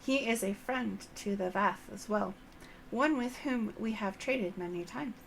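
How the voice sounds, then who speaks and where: A woman voicing a courteous insectoid elder storyteller from a game, with formal, archaic, drawn-out phrasing. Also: mid-range voice, creaky, a little hiss or hum, rough recording. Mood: solemn, measured. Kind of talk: game dialogue read aloud.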